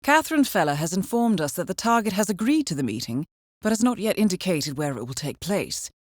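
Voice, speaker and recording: A composed female intelligence handler in a spy video game, speaking on the lower side in a close, dry recording. Her delivery is formal and clipped, with expressive, swooping intonation and a wry edge as she guides an assassin. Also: brisk, no room sound. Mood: composed, businesslike. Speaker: mission handler. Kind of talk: scripted video game briefing voice acting.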